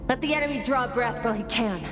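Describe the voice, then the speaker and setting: An actress playing a cool, formidable warrior woman in scripted game dialogue, speaking formally with measured, unruffled confidence. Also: a little high, over music, lightly acted. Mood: calm, commanding.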